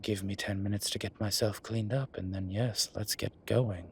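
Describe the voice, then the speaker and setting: A man playing a weary, wry incubus professor in an intimate audio roleplay, speaking in measured, drawn-out phrases. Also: fairly low pitch, fairly even intonation, slight background noise, natural voice. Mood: wry, teasing. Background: ambient sound effects.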